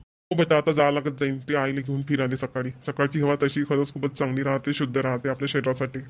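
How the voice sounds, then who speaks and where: Man acting a fast-talking kindly older father-in-law. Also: mid-range voice, level tone, a little hiss or hum, close to an everyday voice. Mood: kindly advising. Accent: Vidarbha Marathi. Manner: gently advising, homely. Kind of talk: amateur family cartoon voice acting.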